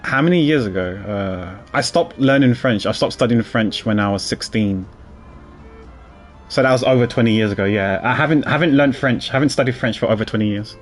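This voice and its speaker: A man's voice: medium pitch, brisk, faint music behind. A man, streaming a game and rambling in casual chat with many restarts.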